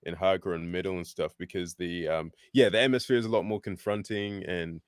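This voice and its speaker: Casting: thirty-year-old man from New Zealand, relaxed guest, lowish smooth voice, dry sound. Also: brisk, no room sound. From born in England, raised in New Zealand. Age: 30s. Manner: relaxed, friendly, thoughtful. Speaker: rapper, guest. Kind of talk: casual interview over video call.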